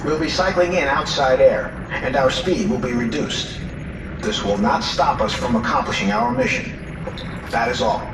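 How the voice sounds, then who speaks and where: A man's voice: mid-range voice, sing-song, moderate pace, creaky, music in the background. A man playing a formal, authoritative submarine captain in an audio drama, with clipped military speech. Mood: composed, authoritative. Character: commanding, dutiful male submarine captain. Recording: roomy, distant, noisy vehicle ambience.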